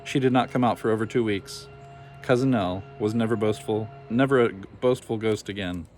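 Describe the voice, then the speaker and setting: A man's voice: lowish voice, a little fast, darkish tone, faint music behind. A man reading a scripted children's story aloud as narrator. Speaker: storybook reader.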